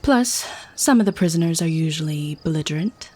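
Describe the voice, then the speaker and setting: A woman's voice: low-pitched, lively intonation, a little fast, darkish tone. Woman doing a smug vampire lady, teasing n nonchalant, asmr roleplay style. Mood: smug, matter-of-fact. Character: teasing, cynical vampire woman, warden of mortal prisoners. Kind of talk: ASMR audio roleplay acting.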